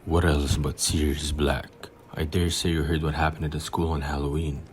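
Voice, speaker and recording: Courteous, grave older minister, played by a man, very low-pitched and measured, faint noise behind. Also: level tone. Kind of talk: amateur audio drama acting.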